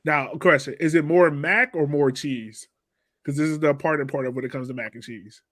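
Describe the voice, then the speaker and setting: A man with a fairly high voice hosts a sports podcast. He talks casually in a chatty, slangy, jokey way. The sound is dry, with very little room to it. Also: fairly quick, fairly bright tone, no echo. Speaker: podcast host.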